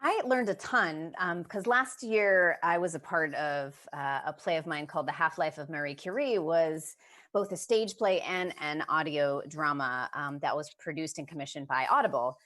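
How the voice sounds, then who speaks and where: A woman with a distinctly low voice talks as a guest on a panel. She is thoughtful and enthusiastic, unscripted, and puts weight on her key words. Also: normal speed, fairly bright tone.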